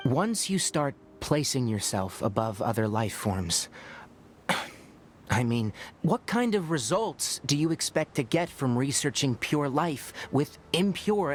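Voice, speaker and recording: A composed young forest ranger who explains things patiently. His voice sits at an ordinary middle pitch, and the game audio has a faint noise behind it. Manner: measured, thoughtful, gently lecturing. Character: calm young scholarly male forest ranger. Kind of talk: video game voice acting.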